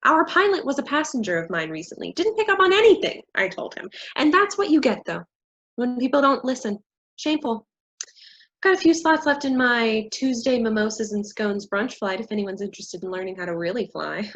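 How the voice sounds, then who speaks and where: Actress as a bossy angry woman in a play reading, kinda husky, scolding, muffled recording. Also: fairly high pitch, animated, a little fast, a bit bright. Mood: scornful, superior. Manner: scolding, insistent. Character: angry, rigid, bossy woman enforcing the rules.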